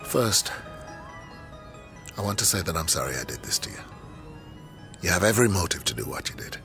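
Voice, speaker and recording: A man's voice: deep voice, sing-song, a little fast, vocal fry, over music, exaggerated voice. A man acting in a radio drama as a proud, embattled middle-aged university lecturer, defensive and remorseful, with an intense, articulate, rhetorical delivery. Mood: remorseful, confessional.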